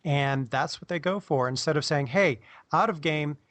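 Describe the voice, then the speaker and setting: Higher-pitched man chatting on a panel. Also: moderate pace, a bit bright, no echo. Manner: acts out imagined dialogue to make points. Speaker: podcast panelist. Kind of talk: casual panel discussion.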